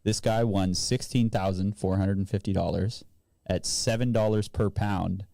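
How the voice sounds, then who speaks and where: A man's voice: lowish voice, steady tone, moderate pace, gravelly, warm tone, dry recording, studio quality. A man co-hosting a podcast, chatting casually and reading out light news items. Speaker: podcast co-host.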